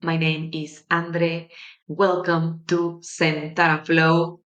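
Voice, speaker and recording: A young woman with a Mexican Spanish accent speaks slowly, with lots of room between her phrases. Her voice sits a little low. She hosts a reflective personal podcast in a soft, caring tone. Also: little pitch movement, highs cut off. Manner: gentle, warm-hearted, unhurried with soft pauses. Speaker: podcast host.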